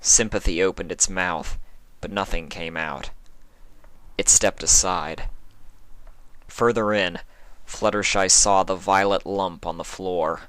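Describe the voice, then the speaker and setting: A narrator giving a measured, expressive, dramatic reading of a fan fiction story. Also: low voice, dry recording.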